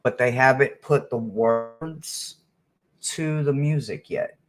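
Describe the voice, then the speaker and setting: A man giving a spoken tarot reading to viewers, talking emphatically with dramatic pauses and often checking for agreement. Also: mid-range voice, soft background music. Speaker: tarot reader.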